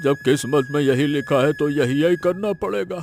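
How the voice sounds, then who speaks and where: A man's voice: fairly high pitch, moderate pace, vocal fry, darkish tone, soft background music. A man playing a resigned elderly village father in a cartoon story, speaking in a lamenting, fatalistic way. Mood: bitter, resigned. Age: older. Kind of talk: Hindi moral cartoon story dialogue.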